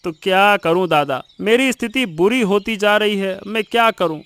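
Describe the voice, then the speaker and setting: A man's voice: high-pitched, moderate pace, fairly bright tone, clean tone, no echo. A man voicing a dejected younger villager down on his luck, plaintive and complaining, for a cartoon story.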